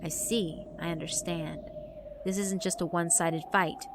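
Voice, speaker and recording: A woman's voice: lowish voice, fairly even intonation, normal speed, background noise, close to an everyday voice. A woman voicing a proud, knowledgeable female art club advisor in a horror visual novel, defiant and self-assured. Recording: music behind the voice.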